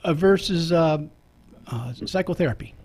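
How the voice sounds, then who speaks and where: A man's voice: a little high, moderate pace, a little mellow, husky. A man, a priest, hosting a radio talk show in a casual, jokey way, chuckling between remarks.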